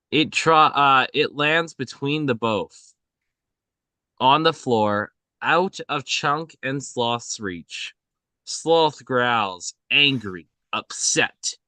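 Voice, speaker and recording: A man reading out screenplay action at a table read in a halting way, often stumbling and correcting himself. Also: medium pitch, bright, forceful tone, no echo. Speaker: reader of stage directions. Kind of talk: scripted narration at a table read.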